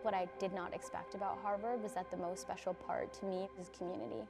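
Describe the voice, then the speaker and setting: A woman's voice: mid-range voice, steady tone, quick pace, creaky. A young woman, a college student, reflecting casually in an interview-style testimonial with filler-heavy phrasing. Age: young adult. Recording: loud music bed behind voice. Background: music.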